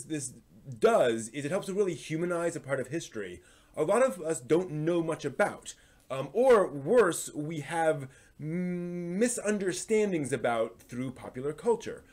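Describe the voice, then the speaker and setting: A man's voice: a little high, darkish tone. A man hosting a live stream, talking through a review in a casual, explanatory way and often restarting phrases as he thinks aloud.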